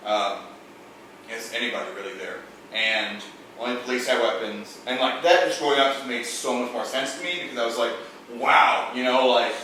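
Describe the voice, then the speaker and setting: Young guy rambling and swearing over drinks, bright voice, super echoey room, mic far off. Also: medium pitch, a little hiss or hum. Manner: rambling, profane, tipsy, laughing asides. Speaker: storyteller in a drinking game. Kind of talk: casual chat, rambling storytelling. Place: room indoors with friends.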